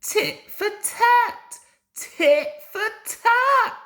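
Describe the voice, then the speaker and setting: A small, touchy steam engine from a children's storybook, played by a young man. The voice is pitched high and draws out its words slowly, indignant and exclaiming, quick to take offence. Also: expressive, sharp tone, reverberant, exaggerated voice. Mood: gleeful, vengeful. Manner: indignant, exclaiming in drawn-out cries. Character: huffy, easily offended male little steam engine.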